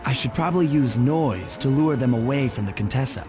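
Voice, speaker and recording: An actor playing a cocky young raccoon master thief in a video game, smooth and easygoing. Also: male voice, mid-range voice, lively intonation, fairly quick, lightly acted. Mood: assured. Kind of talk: animated video game cutscene acting. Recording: loud music under the voice. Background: game music.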